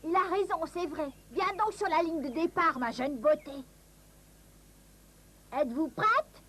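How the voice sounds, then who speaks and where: A wicked cartoon apple seller with a shrill, piercingly high voice. The tone is bright and coaxing, very theatrical, like a sly villain in disguise. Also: female voice, expressive, a little slow, exaggerated voice. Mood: wheedling. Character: disguised evil queen as wheedling fairground apple seller. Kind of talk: French-language cartoon dub acting.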